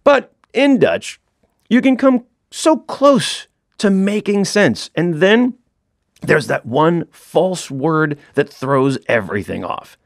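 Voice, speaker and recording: Middle-aged man, dry close studio read, animated swoops in pitch, wry self-deprecating comic narrator. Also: slow pace, creaky, no echo. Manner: wry, self-mocking storyteller. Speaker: author reading his own audiobook.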